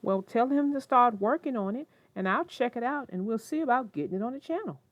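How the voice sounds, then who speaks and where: A woman's voice: a little high, expressive, fairly quick, dry recording, highs cut off. A woman host narrating a children's storybook as a read-aloud. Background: music, plucked guitar.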